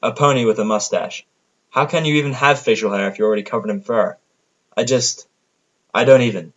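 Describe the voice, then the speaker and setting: A man's voice: medium pitch, brisk, lo-fi sound. A man narrating a first-person audio drama from a script, with a sardonic manner.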